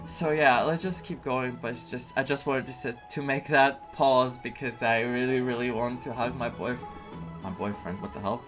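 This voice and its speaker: A video game streamer giving casual, personal commentary in a halting, reflective and candid way. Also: mid-range voice, steady tone, fairly bright tone. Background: faint game music.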